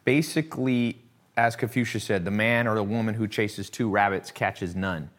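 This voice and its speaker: Male interview host, low voice, chatty, eager questions. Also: normal speed, a bit bright. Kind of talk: casual interview talk.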